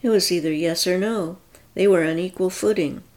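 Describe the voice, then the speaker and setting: A woman narrating a story aloud in a calm, even reading voice. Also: fairly low pitch, normal speed.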